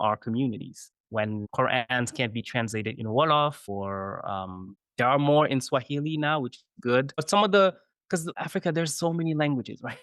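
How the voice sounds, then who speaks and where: A man's voice: mid-range voice, a bit bright, no echo. A man, a chaplain guest on an interview podcast, explaining his views thoughtfully and often checking in with "you know" and "right?". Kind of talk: conversational interview.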